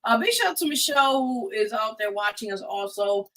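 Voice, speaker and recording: A woman's voice: on the high side, expressive, a little fast, fairly bright tone, rough recording. A woman hosting a live show, giving casual announcements and addressing listeners informally as a group. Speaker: radio show host.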